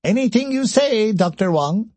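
A man's voice: high-pitched, expressive, moderate pace, darkish tone, dry recording, voice pushed up. A man voicing an eager, polite male engineer character in a science fiction audiobook. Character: eager, busy male engineer examining alien machinery.

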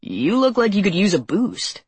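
A woman's voice: medium pitch, sing-song, normal speed, husky, no echo, pitched above the performer's own voice. A woman voicing a cheerful eighteen-year-old male soldier, friendly, casual and upbeat.

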